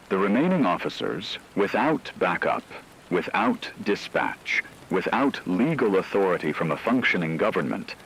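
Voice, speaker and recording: A man playing a grave radio news analyst, delivering scripted reports in a formal, measured, analytical manner. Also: low voice, creaky, a bit bright, a little hiss or hum, exaggerated voice, rough recording.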